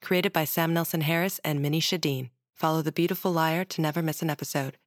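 Low, dry-sounding woman announcer. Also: steady tone, fast, no room sound. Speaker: podcast announcer. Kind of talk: scripted announcement.

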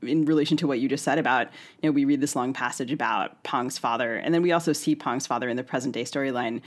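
A woman's voice: extremely low pitch, fast talker. A woman co-hosting a literary podcast, talking in an articulate, chatty way and building long, winding questions. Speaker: podcast co-host, interviewer. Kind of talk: conversational literary interview.

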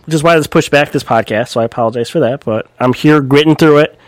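A man co-hosting a casual sports podcast, a little raspy from a cold, talking in a rambling way with lots of fillers. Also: on the high side, quick pace, dry recording. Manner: rambling, many fillers and restarts. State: recovering from a cold, slightly raspy. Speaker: podcast co-host.

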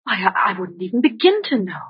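A woman's voice: medium pitch, sing-song, slightly rough. An actress playing a worried, hesitant young woman in an old radio drama. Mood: uneasy. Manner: hesitant, halting. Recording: muffled vintage radio recording.

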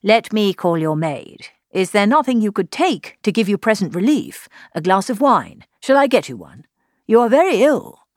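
A woman voicing a courteous young gentleman, earnest and full of concern, in a storybook reading. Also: mid-range voice, very animated, a little fast, few pauses, no room sound, clean studio sound, natural voice. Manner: earnest, emphatic, solicitous.

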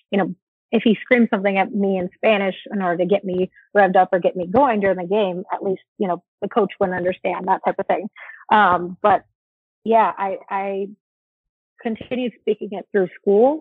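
A young adult woman from the United States, talking casually as an interview guest, relaxed and chatty with many fillers and restarts. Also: mid-range voice, husky, dry recording. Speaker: interview guest, former soccer player. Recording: dry tinny phone-like line.

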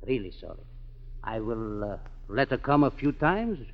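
An actor playing a blunt, dismissive singing master with a brusque, skeptical manner in a 1940s radio drama. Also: male voice, mid-range voice, very animated, moderate pace. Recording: dull old 1940s radio broadcast.

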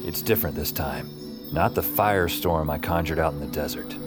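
A brooding urban-fantasy hero, speaks in a low voice over prominent music. He is anguished and self-deprecating, with clipped phrasing suited to noir-like audio drama. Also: brisk. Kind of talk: first-person noir-like audio drama narration. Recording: loud music bed under voice.

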